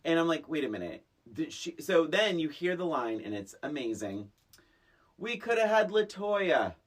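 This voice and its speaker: Middle-aged female co-host, extremely low husky voice, incredulous and emphatic in casual comic recap. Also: very animated, normal speed. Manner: incredulous, emphatic, mock-quoting, breaks off mid-thought. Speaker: podcast co-host. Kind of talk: casual comedic film recap chat.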